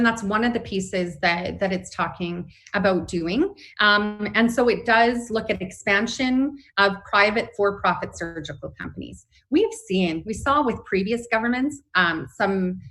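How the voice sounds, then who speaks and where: A woman's voice: medium pitch, a bit bright, low-quality recording. A woman with a Canadian accent speaking as a union representative in an interview, explaining her position earnestly and emphatically. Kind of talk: spoken interview, advocacy.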